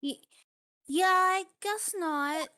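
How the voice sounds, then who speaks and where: A woman's voice: extremely high pitch, very slow, sharp tone, a little hiss or hum, heavily acted. A woman voicing a cheerful, curious teenage spirit medium girl in a live fan dub of a game, chirpy and playful. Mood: unsure. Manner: chirpy, wide-eyed and playful.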